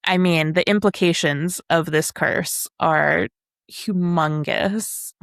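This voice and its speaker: A woman's voice: on the low side, steady tone, slow, gravelly, no room sound. Female podcast co-host, wry and casual, leisurely delivery, close dry sound. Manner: wry, sardonic, casually sweary. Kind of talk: casual book discussion chat.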